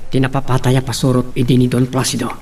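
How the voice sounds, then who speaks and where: A man's voice: mid-range voice, monotone, fast talker, a little mellow, close to an everyday voice. A man acting an earnest, determined young man in a radio drama, respectful to elders. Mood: hesitant, respectful. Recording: noisy, with clicks.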